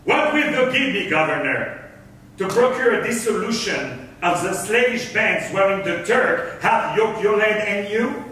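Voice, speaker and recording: A man acting a scheming, self-assured merchant on stage, declaiming Elizabethan drama with deliberate grandeur. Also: sits high, animated, pushed and bright, distant mic, heavily acted. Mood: bargaining, sly. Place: concert hall stage, far camera. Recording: echoey hall from afar, faint noise.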